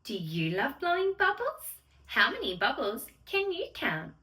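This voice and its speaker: A sweet young fairy played by a woman tells bedtime stories in a very high, sing-song voice that swoops up and down. She gushes straight to little children, excitable and unhurried. The sound is roomy and a little far from the microphone. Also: heavily acted. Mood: playful, excited. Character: sweet, excitable young female storytelling fairy who loves bubbles. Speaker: children's storyteller and channel host. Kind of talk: children's bedtime story read-aloud. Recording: roomy echo, distant microphone.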